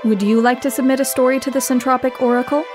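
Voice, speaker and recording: A woman's voice: high voice, steady tone, fast, music in the background. A woman announcing a fiction podcast in a scripted intro and outro style.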